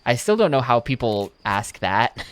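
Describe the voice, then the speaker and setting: A man's voice: mid-range voice, sing-song, measured pace, smooth. A young man from the United States commenting casually on a game as he plays, with dry, joking asides. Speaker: video game streamer.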